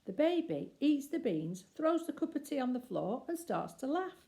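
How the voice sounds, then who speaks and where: A woman reading a children's story aloud expressively, with deliberate phrasing and emphatic pauses. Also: high voice, sing-song, mellow.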